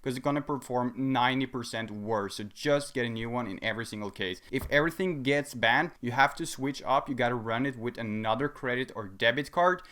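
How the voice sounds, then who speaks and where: A young man of eighteen talks straight to his viewers in a casual Q&A. He is blunt and emphatic, states his advice flatly and says it again to drive it home. His pitch sits in a middle range for a man. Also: a little fast, few pauses. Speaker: dropshipping channel host answering viewer questions. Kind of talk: casual Q&A vlog.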